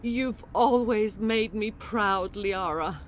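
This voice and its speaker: Tormented dying matriarch, played by a woman: grave, unhurried, faltering delivery, dignified, video game drama, faint score behind. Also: mid-range voice, faint music behind, lightly acted. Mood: tender, resigned.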